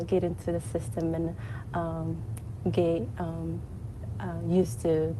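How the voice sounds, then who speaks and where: A woman from Ethiopia speaking in a slow, halting way with many fillers, talking freely at a work event. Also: on the low side, noisy background. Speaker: panel speaker. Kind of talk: unscripted talk at a work event.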